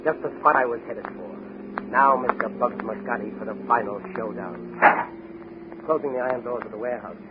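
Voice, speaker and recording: A man's voice: medium pitch, normal speed, natural voice. Brash masked radio hero, played by a man. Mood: determined, defiant. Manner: theatrical, brash, declamatory. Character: bold young masked male crime-fighting hero, swaggering and taunting. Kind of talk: 1940s radio acting. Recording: scratchy, tinny 1940s radio recording.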